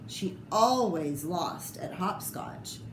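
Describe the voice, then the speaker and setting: A woman reading a children's picture book aloud with expressive, theatrical delivery and short asides about the pictures. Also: on the low side, slow. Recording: echoey room with clear background noise.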